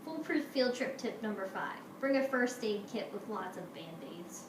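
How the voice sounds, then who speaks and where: A woman teacher doing an expressive picture-book read-aloud for her pupils. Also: mid-range voice, moderate pace, far from the mic. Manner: expressive, animated storytelling. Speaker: schoolteacher reading to pupils. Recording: roomy, echoey, noisy home-style recording.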